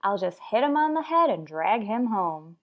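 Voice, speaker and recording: A woman playing a wry, sardonic high school English teacher in an old-time radio sitcom, delivering dry wisecracks. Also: very animated, moderate pace, dull audio, a little hiss or hum, lightly acted. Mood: dry teasing.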